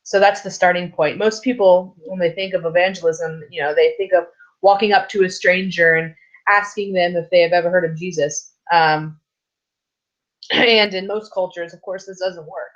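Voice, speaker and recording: A woman teaching a recorded church lesson off the cuff, hoarse from a sore throat, with many fillers and restarts. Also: on the low side, normal speed. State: sore throat, losing her voice. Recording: slightly dull, lo-fi recording.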